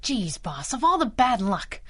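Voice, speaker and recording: A woman's voice: fairly high pitch, very animated, a little slow, over loud music. A woman playing a plucky, loyal young sidekick in a 1930s-style radio serial, earnest and slangy. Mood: anxious.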